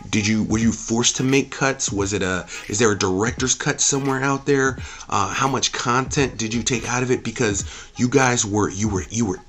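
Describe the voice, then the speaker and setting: A man's voice: on the low side, a bit bright. A man giving an opinionated, off-the-cuff review as a host, speaking emphatically, repeating himself and swearing freely. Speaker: movie reviewer and channel host.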